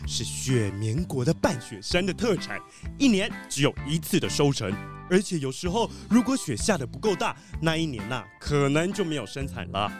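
A friendly wolf diplomat, lightish voice, really animated and keen, kids audio story, faint music. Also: male voice, on the high side, normal speed. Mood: enthusiastic. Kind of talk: children's detective audio drama.

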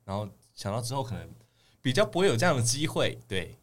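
A man's voice: medium pitch, big pitch swings, fast. A young man, chatting casually as an idol guest. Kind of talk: casual chat.

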